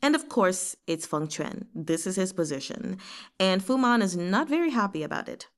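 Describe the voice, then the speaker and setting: A woman's voice: medium pitch, moderate pace. A woman hosting a book read-along, talking casually and expressively and drifting into asides. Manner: expressive, digressive, giggly asides. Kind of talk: casual chatty book discussion.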